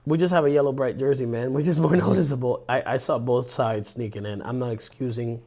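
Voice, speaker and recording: A gravelly-voiced man, chatting casually as a podcast co-host. He tells stories in an animated, rambling way, often restarting his sentences. His voice sits at an average pitch with a rough, fry-like edge. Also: brisk. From Colombia. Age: 30s. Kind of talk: casual chat.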